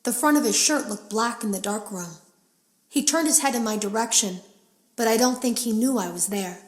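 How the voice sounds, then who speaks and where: An actress playing an uneasy female game tester, confiding in a measured, serious way in scripted audio logs for a horror game. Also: fairly high pitch, roomy sound, close to an everyday voice. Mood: haunted.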